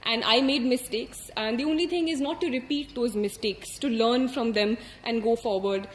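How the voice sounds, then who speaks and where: A young woman with an Indian English accent speaks in a high, light voice, talking earnestly and thoughtfully through her advice. She pauses often on fillers and restarts her sentences. The room is echoey, with a faint hum of ambience behind her. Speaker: exam topper sharing advice. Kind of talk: reflective advice talk.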